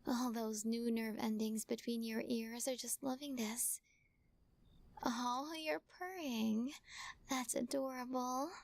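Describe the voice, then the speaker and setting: A woman's voice: fairly high pitch, flat delivery, unhurried, few pauses, dry recording. A woman playing a sweetly possessive witch. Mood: doting. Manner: soft, teasing, coaxing, slips into whispers. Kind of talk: audio roleplay with whispering.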